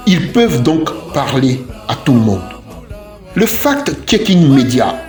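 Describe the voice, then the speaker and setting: A man's voice: fairly high pitch, a little mellow. A man from Côte d'Ivoire delivers scripted opinion commentary in an emphatic, declarative way, repeating phrases in lists. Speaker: civic commentator. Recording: roomy echoing voice track with music. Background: music.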